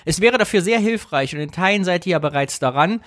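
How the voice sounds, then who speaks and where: A man at a middle pitch, quick by habit, reads a letter aloud carefully on a casual talk stream. His delivery stays measured and plain. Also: lively intonation, a bit bright. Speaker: co-host.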